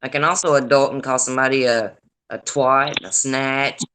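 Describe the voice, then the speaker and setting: An older woman with a deep raspy voice and a Deep Southern accent, hosting a casual livestream chat in a blunt, profane, gossipy way. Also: steady tone, moderate pace. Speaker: livestream host. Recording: noisy livestream audio.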